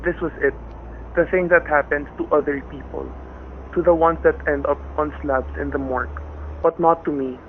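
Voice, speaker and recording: A man's voice: a little high, steady tone, normal speed, narrow, tinny audio, lightly acted. Man playing a blunt husband, thin radio sound. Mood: tense narration. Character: plain-spoken, unruffled husband and father, driving. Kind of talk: radio suspense acting. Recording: thin, band-limited radio sound, faint noise.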